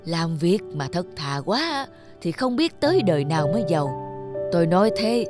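A woman voicing a shrewd, calculating male factory director in a cartoon, speaking with measured, insinuating authority. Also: lowish voice, sing-song, over music. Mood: sly, measured. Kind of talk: cartoon voice acting.

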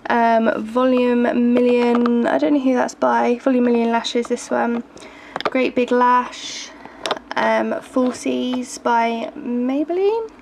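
Young woman, groggy gravelly voice, hesitant chatty makeup tour, noisy home camera audio. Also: on the high side, fairly even intonation, slow pace. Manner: chatty, hesitant, frequent ums and little laughs. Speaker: beauty vlogger. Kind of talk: casual makeup collection tour. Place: bedroom at home. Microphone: handheld camera filming from close. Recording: noisy home video recording.

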